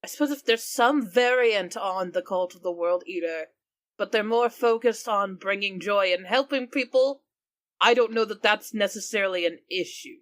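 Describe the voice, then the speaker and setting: A woman's voice: medium pitch, moderate pace, no room sound, clean studio sound. A woman chatting casually as a player in a tabletop role-playing game.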